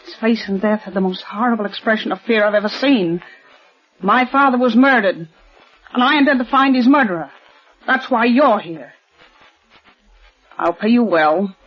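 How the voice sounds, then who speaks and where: A woman's voice: on the high side, moderate pace, pauses often, close to an everyday voice. An actress in 1940s radio style playing a formal, insistent wealthy woman of forty. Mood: tense. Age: 40s. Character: tense, proper wealthy eldest daughter. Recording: old lo-fi radio recording.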